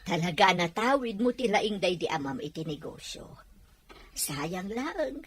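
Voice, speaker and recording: A woman's voice: fairly low pitch, animated, measured pace, fairly bright tone, a little hiss or hum. A woman playing an admiring, wistful parent of a grown son, with an Ilocano accent in an audio drama. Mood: proud, wistful.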